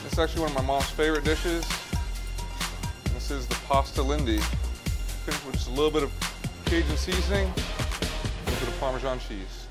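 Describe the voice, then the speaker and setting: A man's voice: on the high side, brisk, pauses often. A man in his thirties, a chef, talking through a pasta dish step by step in a restaurant kitchen. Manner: relaxed, explains each step as he cooks. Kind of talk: cooking demonstration. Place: restaurant kitchen by a hot stove. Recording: music playing under the voice.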